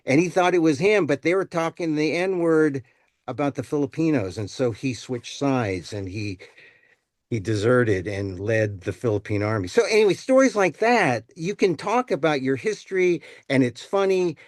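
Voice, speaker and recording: A man's voice: a little high, expressive, normal speed, no room sound, clean studio sound. Man chatting casually on a panel. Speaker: comedian and storyteller on a panel.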